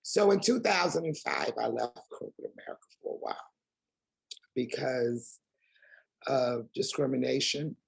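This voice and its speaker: A woman with a raspy, gravelly voice and a husky edge, talking candidly as an interview guest. She speaks emphatically and drives her points home with pointed rhetorical questions. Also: extremely low pitch, lively intonation, normal speed, poor audio.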